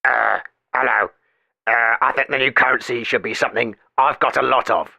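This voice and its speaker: A man's voice: mid-range voice, fairly even intonation, moderate pace, vocal fry, sharp tone, no room sound, lo-fi sound, exaggerated voice. A man voicing a dim-witted, hesitant working-class caller in a comedy radio sketch. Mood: dopey.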